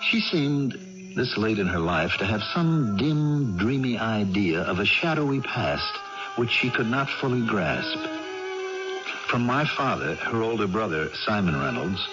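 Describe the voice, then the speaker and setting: An actor playing a reflective nephew who narrates his aunt's family history in measured, literary radio drama style. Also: on the low side, lively intonation. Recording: dull vintage radio, music underneath.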